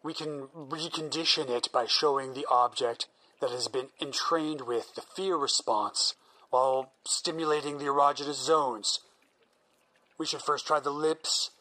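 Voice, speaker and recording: A man's voice: mid-range voice, a little slow, bright, forceful tone, poor audio. An actor playing a single-minded male scientist who explains his plans in an earnest, persuasive way. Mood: eager. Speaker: researching psychologist. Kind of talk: audio drama acting.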